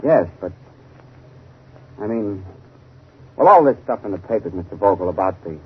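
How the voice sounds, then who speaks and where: A man's voice: on the low side, lively intonation, moderate pace, husky. An actor playing a timid, conscience-stricken family man, hesitant and earnest, in a 1930s radio mystery. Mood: nervous, resolute. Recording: dull 1930s radio recording.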